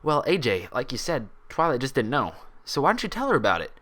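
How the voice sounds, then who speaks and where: Storybook stallion doctor, played by a man: calm bedside coaxing, slightly patronizing, bright-toned. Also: medium pitch, fast talker, voice pushed up. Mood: calm, encouraging. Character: male pony hospital doctor, calm, patronizing.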